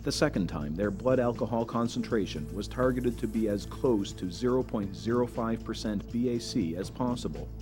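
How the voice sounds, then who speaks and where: Man, documentary narrator, measured and earnest, fairly low voice. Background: background music.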